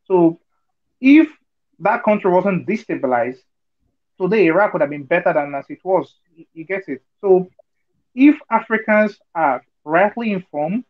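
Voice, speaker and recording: Earnest man, high voice, lo-fi call. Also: expressive, measured pace. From Nigeria. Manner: earnest, argumentative, many fillers and rhetorical checks. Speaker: discussion participant. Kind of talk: online group discussion. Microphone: video call.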